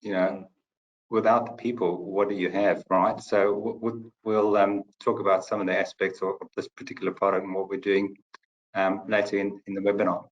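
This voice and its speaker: A man's voice: sits low, little pitch movement, normal speed, muffled recording. A middle-aged man from South Africa with a South African accent, speaking as a guest on a webinar panel, hesitant with many fillers. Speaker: panel guest, company CFO.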